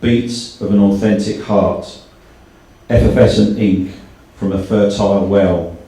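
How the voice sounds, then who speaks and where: A man's voice: on the low side, fairly even intonation, unhurried, dark tone. A man hosting a live event, giving a prepared speech and reading poetry to an audience. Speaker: event host. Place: indoor live launch event. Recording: very echoey hall, far from mic.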